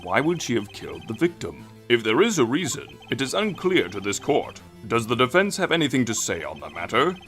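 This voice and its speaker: Animated, pompous old courtroom judge played by an actor. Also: medium pitch, brisk, few pauses, faint music behind. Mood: stern, questioning. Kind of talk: video game fandub voice acting.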